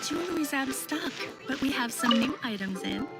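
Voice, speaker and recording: Young game shopkeeper, polite, friendly, fairly high voice, music clearly behind. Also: female voice, animated, brisk. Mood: helpful. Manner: polite, helpful. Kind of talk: anime video game voice acting.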